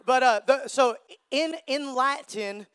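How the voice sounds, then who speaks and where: A man in his thirties preaching a sermon with energy, joking with the crowd and shouting for emphasis. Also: very high voice, slow pace, clean tone. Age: 30s.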